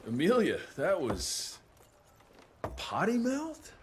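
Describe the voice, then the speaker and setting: An actor playing a tough, laconic gunslinger hero in a video game cutscene, wry and deadpan. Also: male voice, sits high, slow pace, heavily acted. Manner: terse, deadpan. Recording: loud noise and clicks behind.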